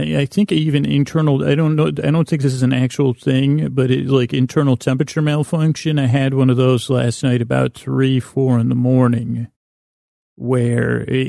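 A man hosting a bedtime podcast in a rambling, halting solo monologue, self-deprecating and whimsical, with a gravelly voice, close on the mic. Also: mid-range voice, dark tone, no room sound. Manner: meandering, halting, repeats and loses his thread. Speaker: podcast host.

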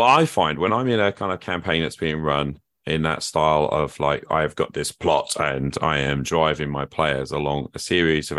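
A man's voice: rumbling low, a bit bright, no room sound. A man hosting a podcast, chatting casually and thoughtfully with his guest. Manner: engaged listener, reflective and conversational. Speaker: podcast host. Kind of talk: casual conversation.

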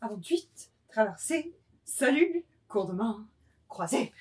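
A woman's voice: a little high, sing-song, a little slow, a little mellow, husky, heavily acted. A woman playing a dancing master who calls out quadrille figures in French during a literary reading. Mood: brisk, commanding.

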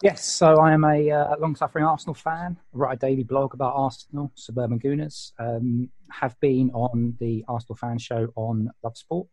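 A man's voice: mid-range voice, little pitch movement, moderate pace, hardly any pauses, darkish tone, no echo. A man, a football fan blogger, chatting casually as a guest. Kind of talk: casual chat.

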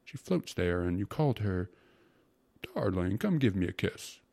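A man voicing a fussy, gluttonous court secretary in a storybook reading, coaxing and savoring each word with a breathy, stammering delivery. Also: sits low, big pitch swings, measured pace, a little mellow, no room sound, exaggerated voice. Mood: blissful. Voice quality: breathy, often dropping to a whisper. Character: fussy, gluttonous male court secretary, rapturous about food.

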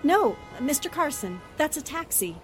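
Female actor as a poised girl reporter, precise, slightly high voice. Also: very animated, normal speed, soft background music. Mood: friendly. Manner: poised, precise, explains deductions. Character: sharp-witted female newspaper reporter. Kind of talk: amateur audio drama acting.

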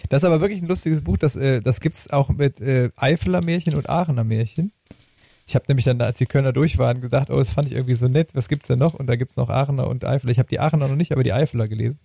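A man talks quickly in casual podcast banter, his mellow voice full of creaky fry. He is playful and joking as a co-host, recorded close and dry. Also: mid-range voice, no room sound.

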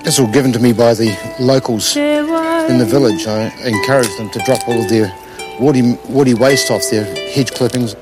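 A man's voice: mid-range voice, big pitch swings, normal speed, music in the background, low-quality recording. A man explaining hands-on gardening in an earnest, plain-spoken way, repeating words for emphasis. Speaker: organic gardener. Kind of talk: informal explanation and demonstration to camera in a documentary.

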